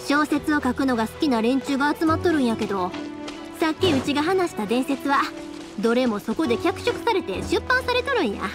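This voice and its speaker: Young woman, Kansai accent, cheerful anime game heroine, very animated intonation, earnest, faint music behind. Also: sits high, brisk. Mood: wistful, explaining. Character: cheerful young woman, big-hearted fireworks maker. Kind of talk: Japanese anime-style game voice acting.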